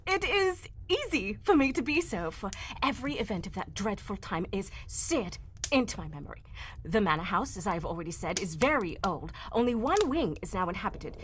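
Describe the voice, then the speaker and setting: A woman playing an anxious young gentlewoman telling her family tragedy in a live old-time radio drama. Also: medium pitch, very animated, brisk, slight background noise. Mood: solemn.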